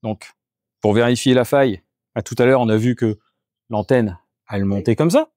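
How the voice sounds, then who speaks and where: A man's voice: medium pitch, normal speed, a little mellow, dry recording. Man explaining on camera, dry sound. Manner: step-by-step, explanatory, talks while working. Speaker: geobiologist doing an energy clearing. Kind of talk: on-the-spot demonstration with explanation. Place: indoors in a house, moving between rooms.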